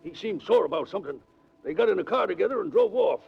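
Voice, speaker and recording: An actor playing a folksy old boat captain with a raspy voice and rustic speech in a 1940s radio drama. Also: male voice, high voice, expressive, quick pace, heavily acted. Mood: folksy, helpful. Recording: muffled vintage 1940s radio recording.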